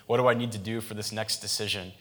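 A man's voice: fairly low pitch, steady tone, fast talker, sharp tone. A man, a pastor, giving a sermon in a conversational style, with frequent restarts and fillers.

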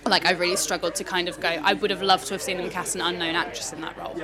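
A woman's voice: on the low side, flat delivery, very fast. A woman co-hosting a podcast, chatting casually about films with many restarts. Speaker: podcast co-host. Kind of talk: casual film review chat. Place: pub. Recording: noisy pub with crowd. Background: pub crowd chatter.

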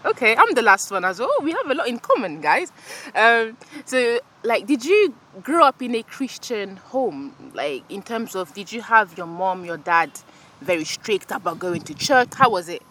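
A woman from France hosting a podcast, talking casually and warmly with a guest while sitting on grass outside, laughing often and using lots of fillers. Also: a little high, big pitch swings, moderate pace. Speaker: podcast host.

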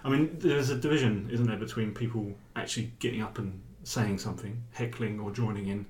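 A man's voice: on the low side, fairly quick, a little mellow, roomy sound. An English man who performs spoken word, talking in a rambling, hesitant way with many restarts, in a casual interview. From England.

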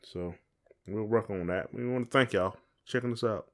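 A man's voice: lowish voice, moderate pace. A man co-hosting a podcast in casual chat, rambling and repeating phrases as he thinks aloud. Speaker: podcast co-host.

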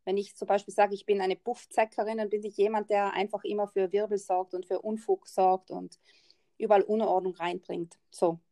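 A woman with a South Tyrolean German accent speaks as a guest on an interview podcast. She chats casually, often breaking into laughter at her own remarks. Her voice sits at a middle pitch and sounds dry and close. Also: flat delivery, brisk, dark tone, no room sound. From South Tyrol, northern Italy. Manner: laughs often, chatty and self-mocking. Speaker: guest author.

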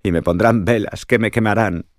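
A man's voice: lowish voice, normal speed, no room sound, studio quality, pitched above the performer's own voice, lightly acted. A man voicing a young, fearful little fir tree in a storybook, fretting and lamenting dramatically.

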